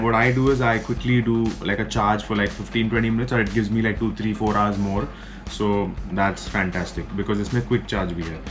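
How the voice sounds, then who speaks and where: A man with an Indian accent and a fairly low voice talks through a product in an informal, explanatory way. He takes his time, switching languages as he goes. Faint guitar music plays behind him. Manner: informal, explanatory, addresses viewers as guys. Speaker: motorcycle gear reviewer. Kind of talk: casual product review, mixing Hindi and English.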